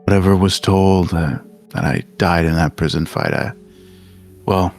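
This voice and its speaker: Man playing a low, slow, weary agent. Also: darkish tone, soft background music. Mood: somber, halting. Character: weary, despairing male agent on a haunted mission. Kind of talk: in-character tabletop roleplay.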